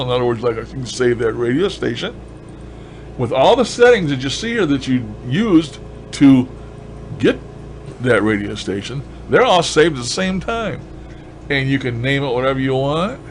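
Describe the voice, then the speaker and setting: Folksy man rambling over background noise. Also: medium pitch. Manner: folksy, colloquial, enthusiastic. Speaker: ham radio hobbyist vlogger. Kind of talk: casual rambling demonstration of a radio gadget.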